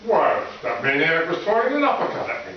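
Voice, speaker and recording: A man's voice: high voice, very animated, fairly quick. A man playing a stern, indignant head of a school board in a comic live radio play. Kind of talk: comic old-time radio play acting. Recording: echoey hall from far off, dull, hissy.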